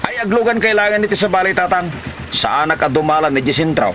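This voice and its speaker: Casting: man as stingy, bickering Ilocano husband, lively swooping delivery, slightly noisy recording. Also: high voice, quick pace. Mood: dismissive. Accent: Ilocano Filipino. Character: stubborn, stingy husband obsessed with his motorcycle. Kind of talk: Ilocano comedy drama acting.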